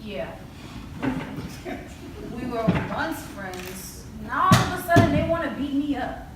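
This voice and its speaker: A woman's voice: high voice, animated, slow. A woman acting a hurt, bullied teenage girl in a stage play, hesitant and plaintive. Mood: troubled. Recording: echoey hall from far off, clear noise.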